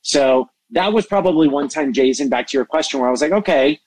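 Expressive, passionate man in a dry recording. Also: fairly high pitch, a little fast, dry recording, low-quality recording. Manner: expressive, passionate, self-described hyper. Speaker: interview guest, entertainment media executive and mentor. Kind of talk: animated interview conversation.

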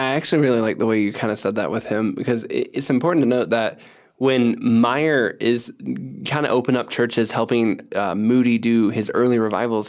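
A man co-hosting a podcast, explaining things in a casual, conversational style. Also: on the low side. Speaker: podcast co-host.

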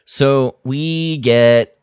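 A man's voice: mid-range voice, drawn-out words, darkish tone, clear, resonant tone, dry recording, studio quality. A man co-hosting a podcast in casual review chat, exasperated and critical, slipping into mock quotes as he goes. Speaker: podcast co-host.

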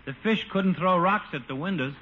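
An actor playing a needling small-town barber with slangy, brash talk, in 1950s radio sitcom style. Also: male voice, high voice, moderate pace, bright, forceful tone. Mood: needling, wisecracking. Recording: muffled, faintly noisy old radio recording.

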